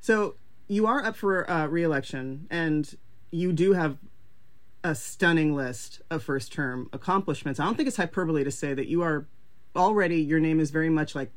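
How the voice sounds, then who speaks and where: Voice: medium pitch, normal speed. Casual upbeat interview host. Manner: casual, upbeat, slangy with many likes. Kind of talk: podcast interview.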